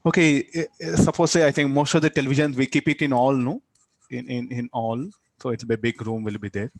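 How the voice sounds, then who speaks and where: A man with a South Indian accent lectures as a physics teacher, in a medium-pitched voice. He often repeats his words and ends sentences with little questioning tags to draw students in. The sound is dry, with hardly any room echo. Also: steady tone, a little fast. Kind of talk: interactive classroom lecture.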